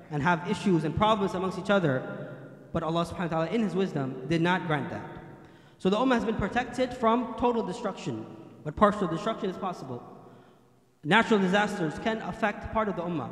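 A young man delivers a Friday sermon from a mic on a stand, reciting Arabic and then explaining it in English. His voice sits high and moves fast. The hall around him is roomy and echoing. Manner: quotes Arabic hadith then translates into English. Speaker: imam. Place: mosque hall, indoors. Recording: echoey hall.

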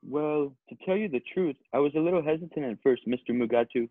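A man's voice: fairly high pitch, quick pace, dry recording, lightly acted. A man playing a dim, earnest, vain young male model in an amateur comedy script read over video call. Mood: hesitant. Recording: thin phone-like video-call audio.

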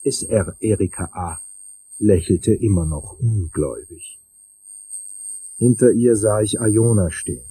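A hard-boiled male detective narrating a noir crime drama. His voice is lowish and dark, moving theatrically up and down. The delivery is deliberate and suspense-laden. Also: expressive, breathy edge, soft background music, poor audio. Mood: suspenseful. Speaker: narrator and lead actor.

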